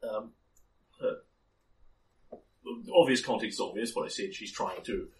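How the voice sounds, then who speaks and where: A man's voice: fairly low pitch, slow pace, darkish tone, far from the mic, slight background noise, rough recording. A man running a tabletop game as game master, explaining a character at length with many ums and restarts. His voice is slightly worn.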